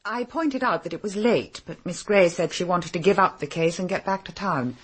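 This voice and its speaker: Cool, low-voiced, husky English secretary. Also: female voice, moderate pace. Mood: composed, formal. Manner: formal, clipped, composed. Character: cool, brisk, haughty female secretary to a powerful man. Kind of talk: British radio drama acting.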